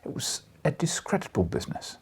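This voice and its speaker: A man's voice: quick pace, slight background noise, close to an everyday voice. A man voicing a thin, dry, disdainful scientific journalist in an audiobook, gossiping with scornful emphasis. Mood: scornful, amused.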